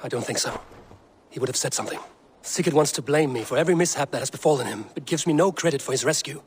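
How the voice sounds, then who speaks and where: A man's voice: mid-range voice, fast talker, natural voice. Man playing a brooding Viking warrior, noisy backdrop. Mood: troubled. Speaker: video game protagonist. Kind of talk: dramatic game cutscene acting.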